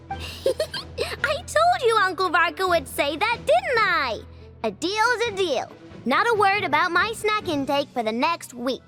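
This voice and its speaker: A woman voicing a small, perky, childlike fairy companion in a video game, squeaky and chatty. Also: very animated, slow, music in the background, full character voice. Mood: smug, teasing. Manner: chatty, exclamatory. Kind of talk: video game English dub acting.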